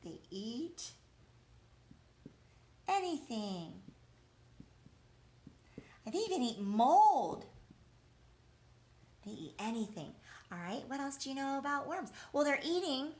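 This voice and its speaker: A woman with a slightly high voice leads an interactive lesson for young children at a very slow pace. She poses simple questions and answers them herself as though children had replied. Her manner is encouraging, and she repeats key words deliberately. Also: sing-song, a little mellow. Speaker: teacher.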